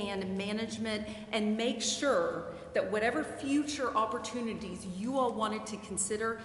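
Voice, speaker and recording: A woman's voice: mid-range voice, fairly bright tone. Woman advising a public meeting, formal n careful, roomy hall sound, some noise. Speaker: legal advisor to a city council. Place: council chambers during a public meeting. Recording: echoey hall, clear background noise.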